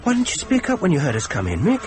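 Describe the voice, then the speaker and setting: An actor playing a frightened, insistent man stranded in a snowstorm, giving grave, urgent warnings with dramatic pauses in a radio horror drama. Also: high voice, wildly swooping pitch, music in the background. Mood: alarmed, frantic.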